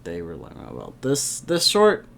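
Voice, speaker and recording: A young man chatting casually to his camera at home, laughing often and getting animated at times. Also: sits low, unhurried, gravelly. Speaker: vlogger. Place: indoors at home.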